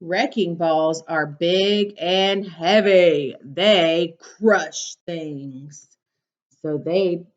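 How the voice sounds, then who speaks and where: A woman teacher reading a picture book aloud to young children, instructing and prompting them with questions. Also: mid-range voice. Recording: dull, lo-fi sound.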